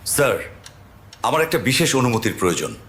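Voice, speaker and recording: A man's voice: mid-range voice, very animated, fairly quick, fairly bright tone, slight background noise. A man playing a righteous, stern young army captain in a story, with a firm, commanding manner. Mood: respectful.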